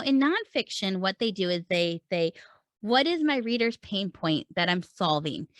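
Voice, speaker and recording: A woman's voice: mid-range voice, a bit bright, dry recording, studio quality. A woman in her thirties hosting a podcast, giving earnest, encouraging advice to writers and asking many rhetorical questions. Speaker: writing coach and podcast host. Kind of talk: solo teaching talk to camera.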